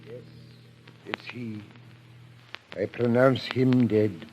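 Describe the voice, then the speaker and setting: An actor playing a grave, philosophical official in an old radio drama, speaking in a solemn, measured way. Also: male voice, mid-range voice, steady tone, darkish tone, faint music behind, low-quality recording. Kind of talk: 1940s radio drama acting. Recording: old radio recording, faint noise.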